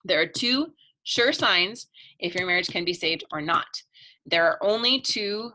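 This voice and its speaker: A woman marriage coach giving a chatty, upbeat pitch in a live online webinar, laughing between points and losing her voice. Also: expressive, unhurried, sharp tone, a little hiss or hum, poor audio.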